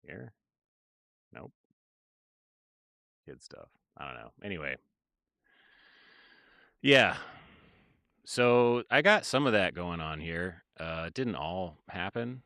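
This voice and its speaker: A man in his thirties with a very low voice chatting casually on a livestream from his home studio, rambling and hesitant, with many fillers and trailing-off sentences. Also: bright, forceful tone, dry recording. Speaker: livestreaming music producer. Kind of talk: casual livestream chat while working.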